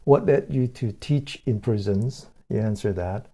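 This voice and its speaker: An older man hosting an online meditation session over a video call, reading out audience questions to the teacher in a plain, relaxed way. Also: lowish voice, unhurried, husky. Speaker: meditation group host. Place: room with dark curtains.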